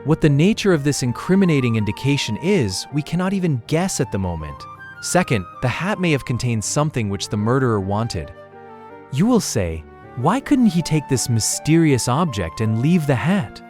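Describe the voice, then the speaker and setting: A man playing a calm, bookish young amateur detective with pedantic, deliberate phrasing in an audiobook mystery drama. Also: mid-range voice, faint music behind, close to an everyday voice. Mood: reasoning.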